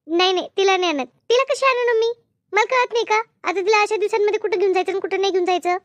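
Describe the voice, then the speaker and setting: A woman's voice: extremely high pitch, fast talker, no room sound. A woman playing a busy, talkative village housewife in a comedy cartoon, chatting colloquially with a rural Maharashtrian accent. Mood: defensive. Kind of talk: animated comedy cartoon dialogue.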